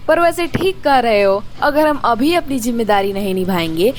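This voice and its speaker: A sassy young woman played by an actress in a radio play, with a fairly high voice. She banters with her office friends and has a sarcastic streak. Faint ambient noise sits behind her. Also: expressive, normal speed, lightly acted. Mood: won over. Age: young adult. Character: sassy, social-media-loving young working woman. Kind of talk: scripted radio drama acting.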